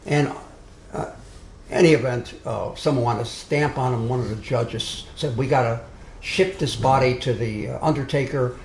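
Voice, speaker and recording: A man lectures to a small audience in a roomy, echoing room, with faint ambient noise behind him. He speaks loudly at a middle pitch, often stopping to restart a phrase or add an aside. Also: moderate pace. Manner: loud, digressive, with many restarts and asides. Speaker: speaker giving a history talk. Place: meeting room with a live audience.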